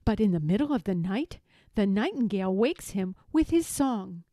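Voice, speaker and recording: A woman reading a children's story aloud in an animated, theatrical, expressive way. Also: mid-range voice, normal speed, no room sound, clean studio sound. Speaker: storybook reader.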